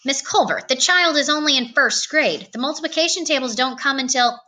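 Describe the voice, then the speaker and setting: A woman reading a storybook, voicing a pompous, stern male school superintendent with a booming, questioning manner. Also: fairly quick, sharp tone. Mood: flustered, stern. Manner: booming, barking, interrogating.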